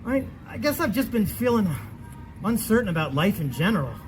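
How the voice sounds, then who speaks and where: A man's voice: high-pitched, sing-song, fairly quick, over music. A man playing an anxious, cynical middle-aged husband in a comedic stage play, stammering and self-deprecating. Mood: anxious, venting. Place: theatre stage at a festival.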